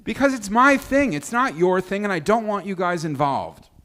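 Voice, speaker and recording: A man's voice: sits high, animated, moderate pace, fairly bright tone, a little hiss or hum. A man playing a defensive, exasperated woman in a live sitcom table read.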